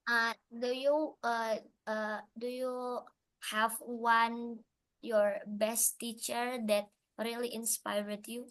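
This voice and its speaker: A young woman asks her questions slowly, with a slightly high voice and plenty of hesitation. She stumbles and restarts as she works through each question. Her delivery sounds careful and unhurried. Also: little pitch movement, fairly bright tone. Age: young adult. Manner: hesitant, halting questions with fillers. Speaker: student interviewer. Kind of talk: interview questions.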